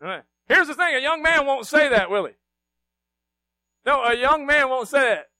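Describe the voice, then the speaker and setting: A middle-aged preacher with a strikingly high voice, swinging from hushed asides to loud, emphatic bursts. He keeps throwing rhetorical questions at the congregation. The sound is close and dry, with no room around it. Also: lively intonation, normal speed, no echo. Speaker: pastor. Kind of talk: sermon.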